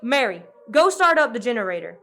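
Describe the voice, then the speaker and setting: A woman's voice: a little high, sing-song, fairly quick, a bit bright. A woman playing a commanding, no-nonsense diner worker in a farcical radio play. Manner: commanding, emphatic. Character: bossy, no-nonsense Southern diner worker, armed and defiant.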